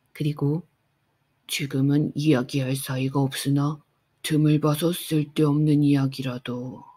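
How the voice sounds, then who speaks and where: A woman narrating a bedtime audiobook in a soft, unhurried way. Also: sits low, breathy edge. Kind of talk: calm bedtime audiobook reading.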